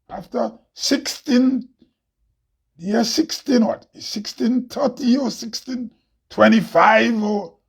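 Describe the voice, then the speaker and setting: Loud Grenadian man, husky and high. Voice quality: loud, heavy voice. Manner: preachy, rhetorical questions, laughs often. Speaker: host. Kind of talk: impassioned monologue. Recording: dull lo-fi recording.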